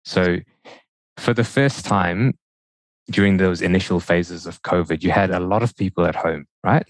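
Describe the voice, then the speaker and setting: A man's voice: sits low, moderate pace, dry recording, poor audio. A man from South Africa with a mixed South African and New Zealand accent, answering questions conversationally as a podcast guest. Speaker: podcast guest, data and analytics executive.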